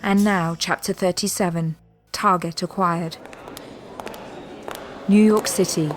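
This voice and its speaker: A woman's voice: fairly low pitch, fairly even intonation, slow pace. A woman reading scripted dramatic narration as the narrator of an audio drama. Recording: music bed under the voice. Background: music.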